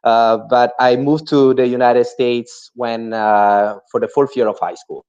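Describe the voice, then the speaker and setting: A man's voice: medium pitch, fairly even intonation, a little slow, a little mellow, smooth. Italian-accented man presenting on a video call. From Italy. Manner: frequent "uh" fillers, earnest. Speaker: guest speaker. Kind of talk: webinar presentation. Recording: muffled online call audio.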